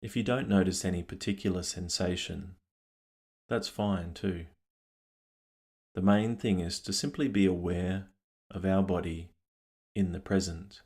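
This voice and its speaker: A man leading a guided meditation, speaking calmly and gently in unhurried phrases with long pauses. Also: sits low, husky. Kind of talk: guided meditation, scripted narration.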